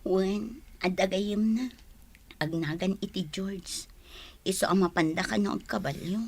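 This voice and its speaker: A woman's voice: medium pitch, a little slow. A woman playing a lovelorn young woman in a radio comedy drama, who speaks in a subdued, hesitant way.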